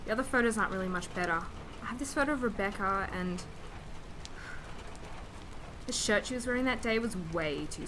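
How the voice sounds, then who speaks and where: A woman's voice: mid-range voice, close to an everyday voice. A young woman playing an insecure eighteen-year-old art student, talking casually and hesitantly into a video diary in a radio drama. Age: young adult. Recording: noisy room ambience on video diary.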